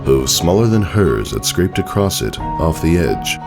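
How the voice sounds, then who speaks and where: Male story narrator, extremely low pitch, lively intonation, measured dramatic pauses, music underneath. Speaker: fan fiction narrator. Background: background music.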